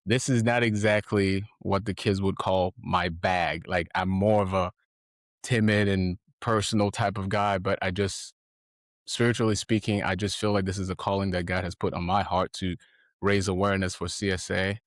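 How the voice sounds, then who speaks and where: Deep-voiced man hosting a podcast. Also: little pitch movement, no room sound, studio quality. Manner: earnest, halting, with many restarts. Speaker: podcast host. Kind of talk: casual interview hosting.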